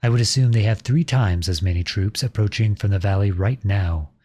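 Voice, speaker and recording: A man playing an outlawed young prince serving as a lowly soldier in a roleplay audio drama, speaking in a formal, measured, courteous and earnest way. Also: dark tone, close to an everyday voice. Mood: serious, strategic.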